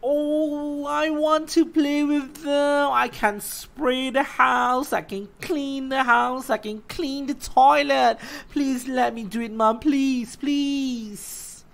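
A woman voicing an eager, curious little girl who pleads in a whiny, drawn-out way. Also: sits high, steady tone, creaky, voice pushed up, full character voice. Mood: pleading.

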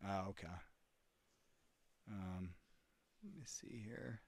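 A man making live calls on behalf of a real estate group, talking casually and haltingly. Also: sits low, creaky, dry recording. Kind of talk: live cold call, casual phone chat.

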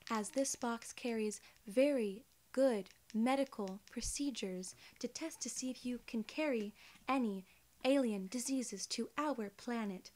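Clinical female alien examiner acted by a young woman, ASMR role-play: unhurried, deliberate, soothing, fairly high voice. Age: young adult. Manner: soft-spoken, formal, deliberate, soothing. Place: small indoor room, seated.

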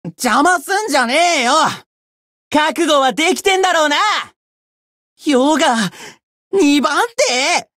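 A woman voicing a cocky, bratty young demon who boasts pompously in rough slang, in a Japanese game character style. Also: sits high, animated, takes it slowly, pauses often, a bit bright, no echo, studio quality, heavily acted. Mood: cocky, irritated.

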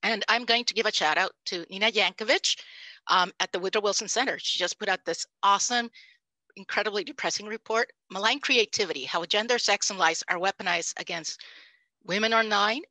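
A Puerto Rican woman in her fifties, a native Spanish speaker, giving an animated, emphatic panel talk over a headset microphone on a video call. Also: medium pitch, fairly quick, no echo, rough recording. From Puerto Rico. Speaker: panelist, language professor. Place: home room, indoors. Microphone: headset mic.

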